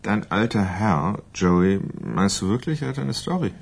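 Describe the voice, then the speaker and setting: Deep, husky, cynical newspaperman. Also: expressive, measured pace, heavily acted. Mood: skeptical. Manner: sardonic, casual. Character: cynical, blunt local newspaper editor in Key West. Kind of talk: German radio crime drama acting.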